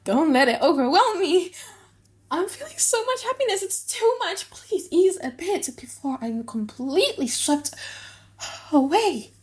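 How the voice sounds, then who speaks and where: A lovestruck young noblewoman, acted by a woman in an audiobook drama. The delivery is breathy and overwhelmed, in short gushing bursts that swoop up high. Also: big pitch swings, measured pace, full character voice. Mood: overwhelmed joy. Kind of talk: dramatic audiobook reading in modern English.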